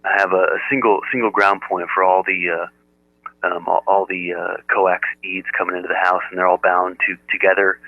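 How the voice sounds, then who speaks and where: A man chatting casually over amateur radio, explaining technical things in a halting, methodical way. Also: low voice, level tone, no room sound. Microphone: radio transceiver audio output. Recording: narrow muffled radio audio, dry.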